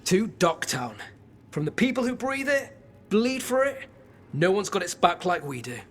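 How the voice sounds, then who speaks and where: A man's voice: high-pitched, very animated, moderate pace, fairly bright tone. A man playing an exuberant, flirtatious and loyal gang member in a video game, expansive and teasing. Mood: rousing.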